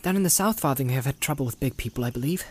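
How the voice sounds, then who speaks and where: A male narrator plays an anxious young hobbit in a fantasy audiobook, lifted well above his natural pitch. The voice is husky and hushed, with careful, uneasy phrasing. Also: animated, racing, close to an everyday voice. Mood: puzzled.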